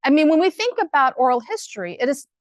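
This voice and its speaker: A woman's voice: sits high, big pitch swings, fast talker, dry recording, clean studio sound. Chatty, enthusiastic woman lecturer. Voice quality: loud voice. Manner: warm, enthusiastic and conversational, with many fillers. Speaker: guest speaker at an academic colloquium. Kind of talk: lively academic talk to an audience.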